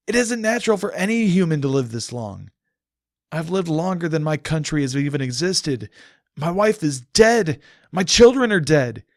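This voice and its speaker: A man's voice: fairly high pitch, no echo, clean studio sound, pitched above the performer's own voice. A man voicing a bitter, tormented immortal man in an audiobook, roaring with anguish and confrontation. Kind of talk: dramatic audiobook character acting.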